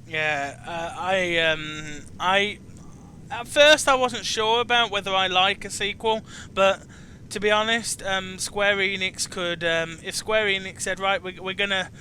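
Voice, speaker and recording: A man hosting a casual chat about video games, repeating words haltingly as he searches for his phrasing. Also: high voice, pushed and bright, slight background noise. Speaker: chat show host.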